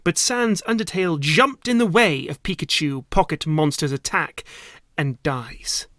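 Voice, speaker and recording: Slow, theatrical male storyteller, dry close sound. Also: on the high side, a bit bright, dry recording. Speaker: voice actor reading a story. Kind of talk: dramatic narrated story reading.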